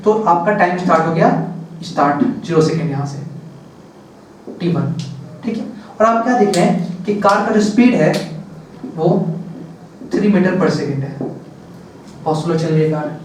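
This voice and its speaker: A man's voice: sits high, a little hiss or hum. A male physics teacher giving a classroom lecture, who explains step by step, repeats words and answers his own questions. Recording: echoey room, voice from far off.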